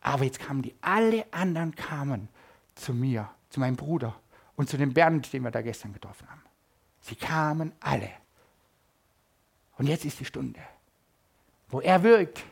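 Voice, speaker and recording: A middle-aged man from Germany, preaching a sermon at a church service and swinging between loud emphasis and quiet asides. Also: medium pitch, animated, normal speed, gravelly, long pauses, breathy edge.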